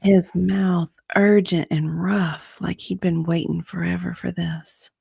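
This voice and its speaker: A woman narrating a dramatic romance reading in a breathy way, often dropping to a whisper. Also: on the low side, flat delivery, slow pace, a little mellow, dry recording, studio quality.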